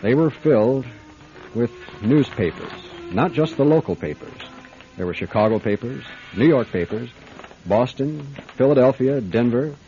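An actor playing a brisk, wry private detective in a radio drama, with a clipped, matter-of-fact delivery. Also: male voice, medium pitch, lively intonation, mellow, highs cut off, faint music behind, natural voice. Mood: wry, brooding. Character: hard-boiled male private detective, brisk, wry, businesslike. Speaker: lead actor and narrator. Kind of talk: radio detective drama with first-person narration.

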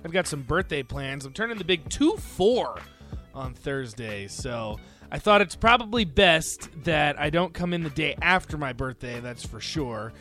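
Young male sports radio host, animated and fairly high-pitched, upbeat. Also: unhurried, faint music behind. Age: 20s. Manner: upbeat, lively, with big swings in loudness.

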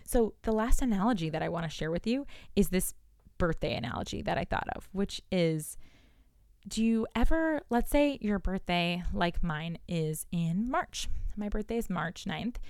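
A woman in her thirties, a business coach, talking straight to her listeners in a solo podcast and checking in with quick rhetorical questions. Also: fairly low pitch, smooth, no room sound, clean studio sound. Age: 30s. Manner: animated, talks to listener directly with rhetorical questions.

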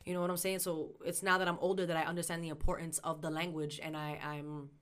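A woman's voice: low voice, fast talker. A woman of Puerto Rican background raised in the US, telling stories in casual chat, swearing freely and getting fired up as she goes. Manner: animated, profane storytelling, voices others in quotes.

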